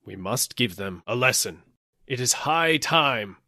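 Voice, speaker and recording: A man's voice: medium pitch, expressive, a little slow, sharp tone, no room sound, clean studio sound, pitched above the performer's own voice, heavily acted. A man voicing a pompous, bossy police superintendent in an audiobook, with a stern, self-important, barking manner. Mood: scornful. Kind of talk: audiobook character acting.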